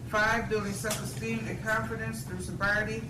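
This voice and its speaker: A woman reading recovery guidelines aloud at a support group meeting, steady and careful. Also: medium pitch, moderate pace. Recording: echoey room, noisy, distant mic.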